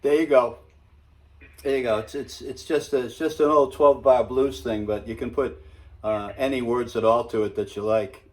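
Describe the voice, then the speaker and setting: A man's voice: mid-range voice, steady tone, moderate pace. A man in his seventies from New England with an American accent, talking in a chatty, easygoing, folksy way. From New England, United States. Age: 70s. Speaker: singer and guitarist. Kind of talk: casual chat on a phone call. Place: at home, indoors.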